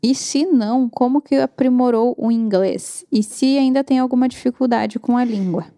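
A Brazilian woman with a mellow, fairly high voice hosts a casual chat. She talks in a friendly, animated, chatty way as she puts questions to her guest. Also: moderate pace. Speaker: host asking viewer questions. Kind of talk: casual conversation.

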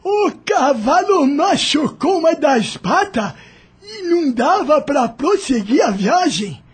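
A humble coach driver, high voice, slow pleading speech, radio play. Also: male voice, exaggerated voice. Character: loyal enslaved male coach driver, humble. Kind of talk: radio drama acting.

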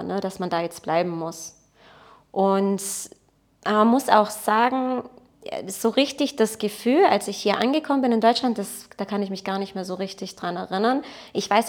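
A woman in her thirties from Portugal, a native Portuguese speaker, chatting in a casual interview as a guest, talkative and self-mocking. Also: mid-range voice. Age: 30s. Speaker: podcast guest.

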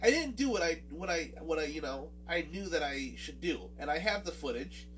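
A man's voice: a little high, animated, moderate pace, fairly bright tone, recorded from a distance, a little hiss or hum, low-quality recording. A man hosting a podcast with a nasal voice and a swollen lip, talking casually and self-deprecatingly with many fillers and restarts. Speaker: podcast host. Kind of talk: casual solo podcast talk.

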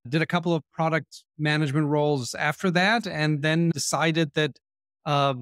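A man's voice: a little high, fairly even intonation, normal speed, fairly bright tone, clear, resonant tone, no echo, clean studio sound. A man in his forties with an Israeli accent, a podcast guest telling his career story in a candid, self-deprecating way. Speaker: guest, marketing executive. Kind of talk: podcast interview.

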